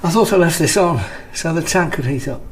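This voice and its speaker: An actor as a sarcastic, sleepless husband, roomy sound. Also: male voice, fairly high pitch, fairly quick, close to an everyday voice. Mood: irritable, sarcastic. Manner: sarcastic, brittle, agitated. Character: sleepless, irritable young husband, sarcastic and on edge. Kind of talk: naturalistic radio drama acting. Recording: roomy sound with faint background noise.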